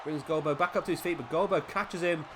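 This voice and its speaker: Gamer commentator: man, slightly high voice, a bit sniffly from a cold, excitable play-by-play, clear background noise. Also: quick pace. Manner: excitable, rising during big moves. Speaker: gaming YouTuber commentating a wrestling game.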